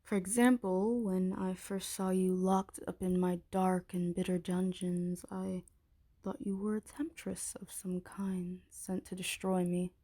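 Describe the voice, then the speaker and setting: A woman playing a haughty, menacing dragon queen in an intimate ASMR roleplay, speaking in an imperious, taunting, deliberate way. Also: on the low side, little pitch movement, warm tone. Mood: contemptuous, suspicious.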